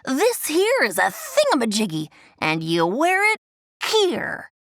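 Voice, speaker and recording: A woman puts on a scatterbrained male seagull for a children's storybook. He declaims like a self-assured expert, with very animated pitch and a dry, close sound. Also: slow pace, no room sound, full character voice. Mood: confident.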